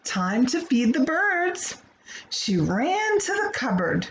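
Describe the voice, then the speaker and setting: A woman reading a picture book aloud to children in an expressive, playful way, with asides to her young listeners. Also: high voice, slow, a little hiss or hum, rough recording. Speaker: children's storybook reader.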